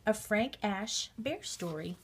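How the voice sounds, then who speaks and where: A woman reading a children's storybook aloud with measured, expressive narration. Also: mid-range voice, a little hiss or hum. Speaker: storybook reader.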